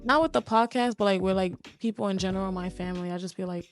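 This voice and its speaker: A young woman born in Haiti hosts a podcast, her voice gravelly with vocal fry. She talks in a relaxed, slangy way, and faint music sits behind her. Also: medium pitch, fast. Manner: slangy, relaxed, laughs often. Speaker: podcast host. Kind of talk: casual chat.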